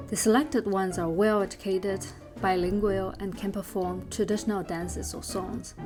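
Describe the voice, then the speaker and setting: A young woman with a Chinese accent, a native Chinese speaker, narrating her travel vlog in a calm, explanatory way with frequent fillers. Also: medium pitch. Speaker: travel vlogger. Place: train sleeper compartment.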